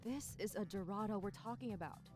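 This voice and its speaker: A woman's voice: medium pitch, a little fast, lightly acted. An actress voicing a protective, teasing young woman, a loyal best friend in a visual novel game, speaking in a wry, casual way. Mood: worried, protective. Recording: background music under the voice.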